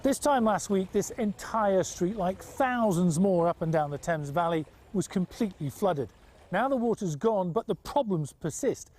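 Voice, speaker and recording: A man's voice: high-pitched, lively intonation, moderate pace, a little mellow, a little hiss or hum. A man with a British accent narrating a scripted television news report.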